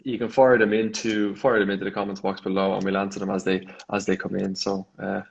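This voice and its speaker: An Irish man with a fairly low voice hosts a casual live video chat. His delivery is chatty and rambling, often circling back and repeating himself before he finishes a thought. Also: flat delivery, fairly quick, gravelly. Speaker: host.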